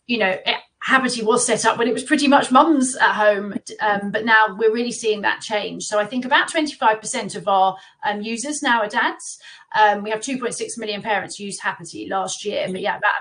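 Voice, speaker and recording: A woman's voice: on the high side, fairly bright tone, poor audio. A woman from the UK speaking casually as a guest in a live Q&A, chatty and reassuring with many fillers. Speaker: guest from a baby class platform. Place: remote live video call.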